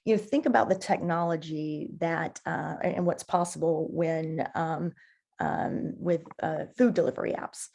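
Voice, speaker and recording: A woman giving conversational, enthusiastic explanations as an interview guest on a marketing podcast, haltingly repeating words as she thinks. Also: low voice, steady tone, gravelly, darkish tone.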